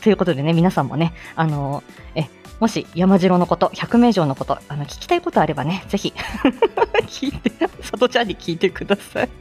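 A woman's voice: lowish voice, sing-song, moderate pace, darkish tone, soft background music. A woman hosting a casual morning livestream, chatting in a giggly, exclaiming way. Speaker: live audio show host.

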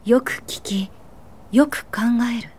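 A young woman student for a radio drama. She is earnest and eager to learn. Her voice sits high, well above most women. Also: slow, darkish tone, breathy edge, heavily acted. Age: young adult.

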